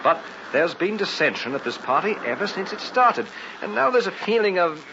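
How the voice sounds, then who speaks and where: Genteel young weekend guest, lively mannered talk, noisy old radio recording. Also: male voice, high voice, moderate pace, muffled recording. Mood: disgruntled. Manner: mannered, old-fashioned phrasing. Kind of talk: old-time radio drama acting.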